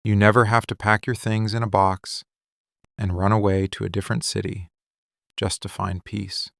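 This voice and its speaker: A low, gravelly mafia boss, acted out by a man, possessive and lovesick, in an intimate boyfriend ASMR roleplay. He talks in short, deliberate phrases with weary intensity and barely moves off one note. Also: level tone, a bit bright, dry recording, clean studio sound. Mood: tender, reassuring.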